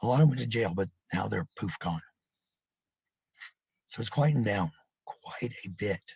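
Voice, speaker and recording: Middle-aged man, husky, dry close sound, casual rambling vlog talk, blunt, profane, repetitive. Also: fairly low pitch, lively intonation, moderate pace, a little mellow, no echo, low-quality recording. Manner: blunt, profane, repeats phrases for emphasis. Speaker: vlogger talking to viewers.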